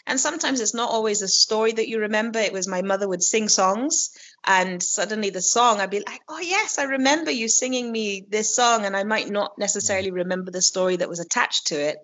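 A Kenyan-raised woman who works as a storyteller, talking in a relaxed interview with many restarts. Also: medium pitch, fairly quick. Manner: warm, reflective, with frequent restarts and laughs. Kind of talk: conversational interview. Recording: dull lo-fi audio.

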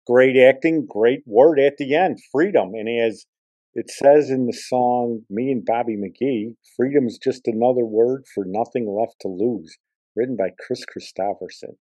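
A man's voice: medium pitch, warm tone. A man in his sixties hosting a podcast, chatting casually and reminiscing in a rambling, nostalgic way. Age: 60s. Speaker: podcast host.

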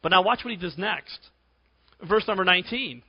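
A pastor preaching a sermon to his congregation while losing his voice, often clearing his throat. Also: sits high, normal speed. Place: church sanctuary. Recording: dull recording in a reverberant church.

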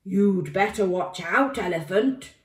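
A woman acts out a friendly bear from a children's storybook. The delivery is slow and emphatic, kindly yet easily worried, in an echoey room. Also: mid-range voice, natural voice. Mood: nervous. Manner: reassuring, then timid. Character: friendly bear who turns nervous and fearful.

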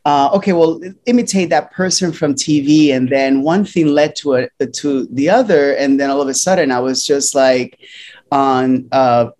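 A man's voice: medium pitch, moderate pace, a little mellow, slight background noise. A middle-aged man from Puerto Rico, a guest in a casual interview, telling his story unhurriedly with many ums and restarts.

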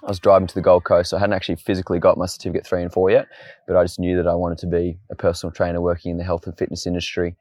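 Very low, flat-voiced Australian man. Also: very fast, no room sound. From Tasmania, Australia. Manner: relaxed, storytelling, says "mate". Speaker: guest, fitness coach. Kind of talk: casual interview chat.

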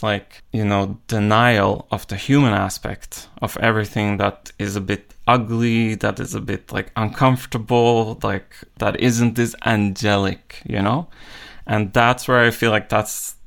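Man, interview guest, lowish pitch, strong vocal fry, thoughtful, searching, conversational. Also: a bit bright. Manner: thoughtful, searching, often says "like". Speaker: interview guest, ambient musician. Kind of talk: reflective casual interview talk.

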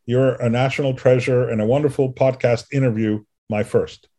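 Guy doing a podcast interview, mid pitched voice, talks deliberately and teases a bit, leans on his words. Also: steady tone, mellow. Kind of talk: conversational interview.

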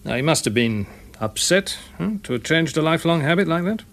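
A puzzled police detective in a radio drama. His educated English voice sits a little high and moves expressively, while his delivery stays cautious, plain and sceptical. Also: lively intonation, a little fast, fairly bright tone. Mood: businesslike. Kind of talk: full-cast radio drama acting.

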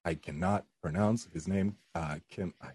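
A man's voice: low voice, normal speed, darkish tone, breathy edge, no echo. A young man, a voice actor, chatting casually in an interview and laughing often. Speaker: voice actor guest.

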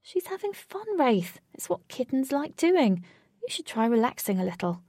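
A woman reads as a young female adventurer in a storybook. She is kind, tender and coaxing with animals. The voice is mellow with a slightly husky, creaky edge. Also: a little high, big pitch swings, moderate pace, dry recording, clean studio sound, pitched above the performer's own voice, exaggerated voice. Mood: defensive. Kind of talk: storybook character voice.

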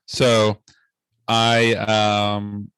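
A man's voice: on the low side, a bit bright, clear, resonant tone, no echo. Rambling, hesitant man telling a story. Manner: rambling, hesitant, many fillers and restarts. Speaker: podcast co-host. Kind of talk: casual storytelling chat.

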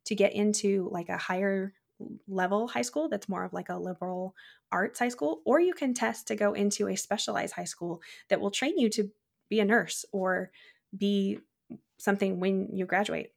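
A woman in her thirties from the Czech Republic, talking casually as a podcast guest. Her voice has a gravelly, fried edge, and she laughs easily and leaves sentences half-finished as she goes. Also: mid-range voice, normal speed. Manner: chatty, laughs often, trails off mid-sentence.

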